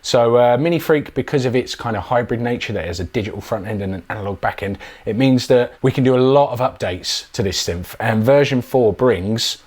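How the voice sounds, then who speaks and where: A man's voice: mid-range voice, a little fast, hardly any pauses, a little mellow. A man presenting a casual, chatty product demonstration in an informal way.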